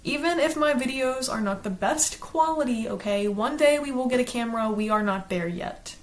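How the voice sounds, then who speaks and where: A woman from South Carolina with a Southern accent, hosting her own channel and talking casually to camera about a book she read, partly reading from a script. She is chatty and earnest, and slows down to enunciate. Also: on the high side. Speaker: channel host, book reviewer.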